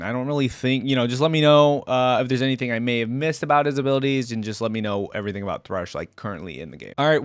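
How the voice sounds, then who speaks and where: A man gives casual commentary as a reaction video host. His pitch sits in an ordinary middle range, and he reasons aloud tentatively. The sound is dry, with music playing faintly behind him. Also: no echo.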